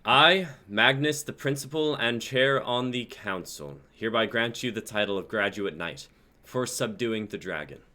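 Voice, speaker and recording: Man playing a deep, solemn knightly council chief. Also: expressive, bright, forceful tone. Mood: booming, proclaiming. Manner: proclamatory, solemn, measured. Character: commanding male principal and council chair of knights. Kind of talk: amateur dramatic script reading.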